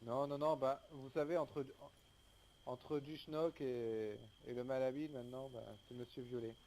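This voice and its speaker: A man playing a calm, polite police lieutenant in improvised online game roleplay, formal and measured, over voice chat with faint noise. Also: medium pitch, lightly acted, rough recording. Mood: calm, wry.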